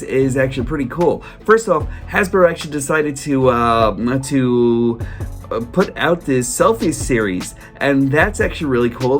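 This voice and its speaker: A man talking casually to his viewers in a vlog, hoarse from a cold, rambling with lots of fillers and restarts. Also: medium pitch. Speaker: toy collector vlogger. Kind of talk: casual solo vlog chat.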